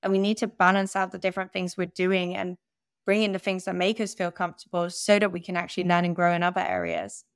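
A young woman from the Netherlands, a podcast guest speaking candidly and laughing at herself in a relaxed interview. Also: on the low side, fast, smooth, no echo, clean studio sound. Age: young adult. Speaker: podcast guest, growth advisor. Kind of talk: conversational interview.

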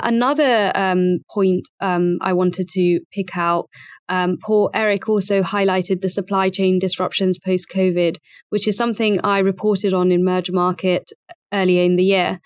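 A woman's voice: mid-range voice, fairly even intonation, normal speed, no room sound, studio quality. A woman journalist giving prepared podcast commentary in formal, somewhat scripted phrasing. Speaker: financial journalist, podcast co-presenter.

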